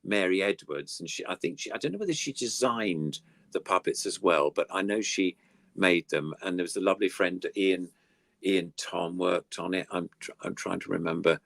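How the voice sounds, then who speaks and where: A man's voice: deep voice, normal speed, gravelly, fairly bright tone, no room sound, clean studio sound. An older man chatting casually and reminiscing as a guest puppeteer, in a rambling, enthusiastic way. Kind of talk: casual chat, reminiscing about old TV puppetry.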